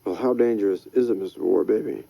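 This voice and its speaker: A man's voice: on the low side, fast, gravelly, no room sound, lo-fi sound. A man voicing a plain-spoken, wary man asking blunt questions.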